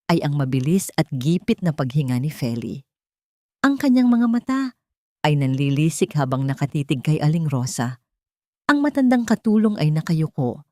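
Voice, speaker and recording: A woman's voice: low-pitched, dark tone, no room sound, clean studio sound. A woman with a Filipino accent reading a dramatic scripted story as narrator.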